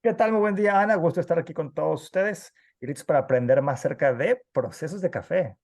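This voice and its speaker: A man's voice: fairly high pitch, fast, darkish tone. A man co-hosting a podcast interview, jovial and chatty. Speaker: podcast co-host.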